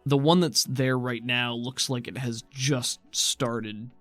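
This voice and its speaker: A man's voice: mid-range voice, steady tone, slow, fairly bright tone, dry recording. Man, game master, improvised scene narration, conversational, descriptive, mid-range voice, faint music behind.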